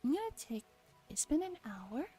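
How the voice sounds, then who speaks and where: A woman streamer reading a visual novel aloud in a soft ASMR style, drifting between quiet speech and whispering. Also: high voice, sing-song, moderate pace.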